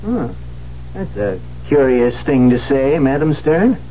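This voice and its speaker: Reserved doctor, medium pitch, measured, dull old radio drama. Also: male voice, big pitch swings, slight background noise. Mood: puzzled, reserved. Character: measured, puzzled male doctor. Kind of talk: 1970s radio drama acting.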